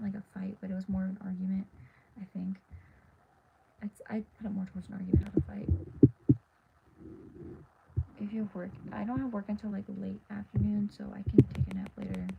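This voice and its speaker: Tired teen girl half whispering in a dark bedroom, voice going, rambly, slight hiss behind. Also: mid-range voice, gravelly. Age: teens. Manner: hushed, half-whispered and rambling with many fillers. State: tired and losing her voice. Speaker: livestreamer. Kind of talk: casual late-night livestream chat. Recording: dull lo-fi phone audio with faint hiss.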